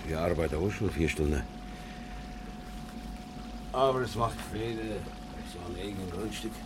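Stern old Silesian farmer, extremely low voice, plain rustic speech, noisy old radio production. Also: male voice, expressive, unhurried. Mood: grave, content. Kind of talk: naturalistic German radio drama acting.

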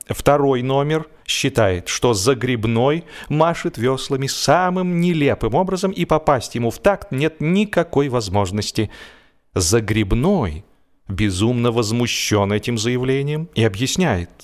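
A man reading humorous literary prose as an audiobook narrator, with a theatrical, expressive delivery. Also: medium pitch.

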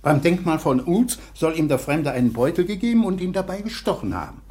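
An actor in a radio play playing an anxious, earnest householder who questions in a worried, doubtful way. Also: male voice, a little high, lively intonation, a little fast, warm tone, lightly acted. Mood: troubled. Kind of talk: German radio play acting.

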